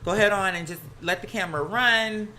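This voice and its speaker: A campy American host chats casually to a livestream with plenty of slang and sass. The voice is mid-pitched and notably bright and forceful. It is recorded at home with some household noise behind it. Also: fairly quick, poor audio. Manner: sassy, campy, slang-heavy. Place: at home, laundry running nearby. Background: laundry noise.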